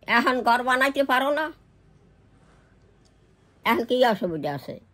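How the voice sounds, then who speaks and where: A woman's voice: fairly high pitch, big pitch swings, slow, pushed and bright. An older woman, a grandmother, chatting casually with family in an East Bengali accent.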